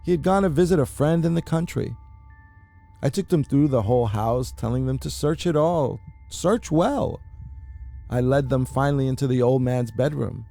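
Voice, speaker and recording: Man's gravelly, mellow dramatic storytelling. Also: medium pitch, soft background music. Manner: theatrical, deliberate, with emphatic repetitions. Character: mad, anxious murderer confessing his crime. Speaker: amateur narrator reading a horror short story. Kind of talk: dramatic first-person story reading, audiobook-like.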